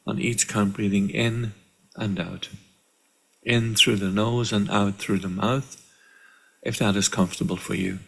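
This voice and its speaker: A man leading a guided meditation in a calm, measured way, with deliberate pauses between short phrases. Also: on the low side, creaky, breathy edge. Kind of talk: guided meditation, slow and instructional. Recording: live online session.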